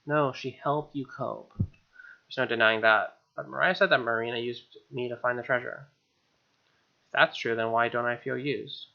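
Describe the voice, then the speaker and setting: A man's voice: mid-range voice, steady tone, moderate pace, creaky, muffled recording. A man hosting a let's play, reading game text expressively with wry, casual asides.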